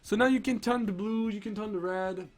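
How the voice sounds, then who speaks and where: A man's voice: sits high, brisk, soft background music. Sick-sounding young gamer guy rambling. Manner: rambling, excitable, many restarts and fillers. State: sick, voice strained. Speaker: gaming streamer. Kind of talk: casual patch-notes commentary.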